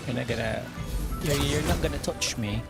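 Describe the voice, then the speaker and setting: A man's voice: mid-range voice, normal speed, vocal fry. A man doing casual live gameplay commentary, muttering to himself in short bursts. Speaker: game streamer. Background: loud game music.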